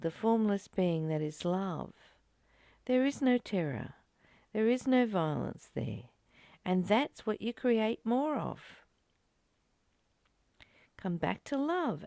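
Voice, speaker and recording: A woman giving a reflective spiritual teaching, reading and commenting in short, earnest, gently urging phrases with pauses. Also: mid-range voice, sing-song, creaky, dry recording, clean studio sound.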